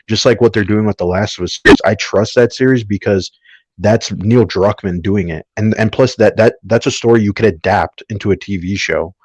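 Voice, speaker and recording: A man's voice: fairly low pitch, moderate pace, darkish tone, slightly rough, dry recording, rough recording. A man speaking as a podcast panelist in a casual discussion, opinionated and often tagging his points with a question to seek agreement.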